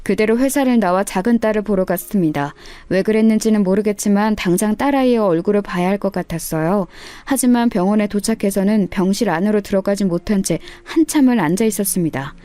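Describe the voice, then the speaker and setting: A woman's voice: mid-range voice, brisk, hardly any pauses, mellow, no room sound. A woman narrator reading a scripted first-person story in the style of a radio tale.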